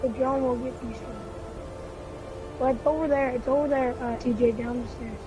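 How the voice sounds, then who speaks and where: A high-voiced gamer casually chatting and calling out tactics to teammates during a multiplayer video game. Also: steady tone, moderate pace, music in the background. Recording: clearly noisy gaming mic recording.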